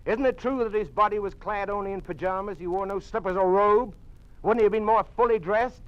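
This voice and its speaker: A man's voice: sits high, brisk, muffled recording, close to an everyday voice. An American man playing a middle-aged doctor in an old radio drama, defiant and accusatory in an emphatic delivery. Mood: defiant accusing. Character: indignant male doctor defiantly challenging communist officials. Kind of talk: 1950s radio drama acting.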